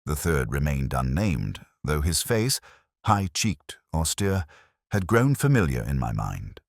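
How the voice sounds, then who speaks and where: A man narrating a first-person detective mystery in a measured, literary, brooding manner. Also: very deep voice, big pitch swings, no room sound, studio quality.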